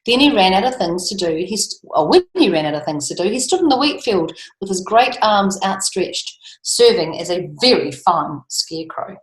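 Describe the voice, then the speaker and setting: A woman storyteller reading a children's book aloud with expressive, lilting emphasis. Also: medium pitch, normal speed, hardly any pauses. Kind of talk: storybook read-aloud with chatty asides. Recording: echoey room.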